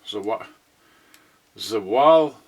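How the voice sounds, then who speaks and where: A man giving casual, halting unboxing commentary, muttering mildly grumbling asides to viewers. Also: fairly low pitch, expressive, slightly rough. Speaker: unboxing vlogger. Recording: noisy recording with rustling packaging. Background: clicks and crinkling packaging.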